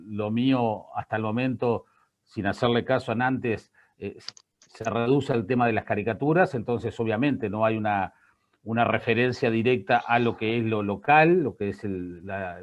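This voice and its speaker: A man's voice: mid-range voice, highs cut off. Reflective man on a video call. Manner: reflective, personal. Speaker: artist guest. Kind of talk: panel discussion.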